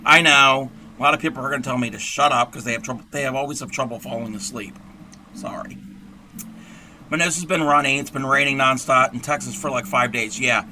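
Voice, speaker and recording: A man with a hoarse, scratchy voice who talks through a crochet tutorial in a rambling, chatty way and clears his throat often. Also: medium pitch, a little fast, pushed and bright. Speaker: crochet livestream host.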